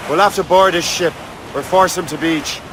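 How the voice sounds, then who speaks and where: Guy playing young irish captain, cocky, voice a bit high, waves and wind loud behind. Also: fairly even intonation, normal speed, close to an everyday voice. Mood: determined. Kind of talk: video game cutscene acting. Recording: clear sea wind and wave noise.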